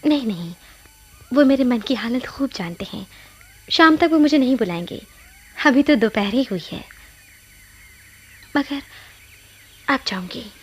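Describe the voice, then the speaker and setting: A woman's voice: a little high, expressive, unhurried, long pauses, slightly rough. A woman acting an anxious, defiant, wounded young village wife in a Hindi radio play, with dramatic, pointed delivery. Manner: dramatic, pointed, emotionally charged.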